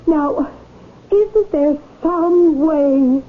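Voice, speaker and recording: An actress playing an earnest, anxious wife, pleading articulately and insistently in a 1970s radio drama. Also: female voice, sits high, very slow. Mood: reasoning, insistent. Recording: tinny 1970s radio broadcast.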